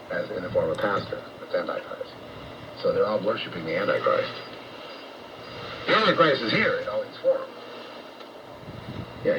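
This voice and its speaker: Noisy shortwave broadcast, male American preacher, low voice, unscripted confrontational rant, halting, repetitive. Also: lo-fi sound. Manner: blunt, confrontational, profane. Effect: shortwave radio. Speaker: radio preacher. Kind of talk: unscripted ranting sermon.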